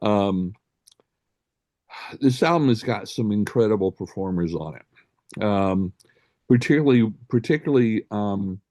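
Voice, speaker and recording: A man's voice: fairly low pitch, expressive, measured pace, long pauses, darkish tone, no room sound. A man on a casual music panel who speaks hesitantly, with many "um"s and dry jokes. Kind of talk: casual panel chat about albums.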